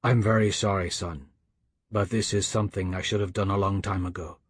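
A man as a low, quiet, menacing older man. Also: fairly even intonation, husky, no room sound, clean studio sound. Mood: regretful, menacing. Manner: soft, measured, accusing. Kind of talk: audiobook character dialogue.

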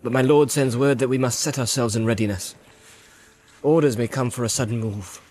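A man doing a grave, hushed warrior marshal. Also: mid-range voice, fairly quick, a little hiss or hum, lightly acted. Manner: grave, hushed, old-fashioned speech. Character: stern, weary male marshal of riders.

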